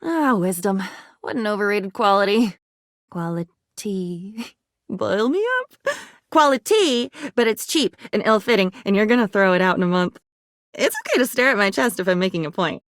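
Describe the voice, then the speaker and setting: A woman's voice: mid-range voice, expressive, normal speed, no echo, studio quality, lightly acted. An actress playing an unhinged, dreamy woman with a volatile temper who rambles disjointedly in a video game. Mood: dry, weary. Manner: disjointed rambling, swinging between wistful and agitated. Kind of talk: video game character voice acting.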